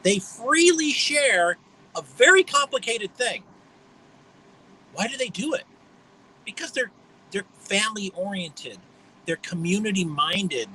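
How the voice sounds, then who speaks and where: A man in his fifties talks through a loose livestream monologue with a high, bright, forceful voice. He is blunt and mocking, and he wanders from point to point. A faint hum sits under the recording. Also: animated, a little slow, pauses often, poor audio. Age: 50s. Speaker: livestream host.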